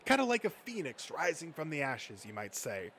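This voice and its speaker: Dude voicing snooty defensive comics nerd, talks all wordy and sneery, amateur comic dub, slight hiss of noise. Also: male voice, on the high side, huge pitch swings, normal speed, a bit bright, full character voice. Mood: pompous. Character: Bill, pompous, defensive male comics collector and mocking rival.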